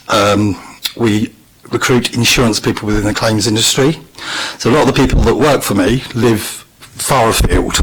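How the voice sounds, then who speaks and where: Man, business owner, croaky voice, husky, polite. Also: lowish voice, fairly bright tone, low-quality recording. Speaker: local business owner addressing council. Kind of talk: public comment. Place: council meeting room.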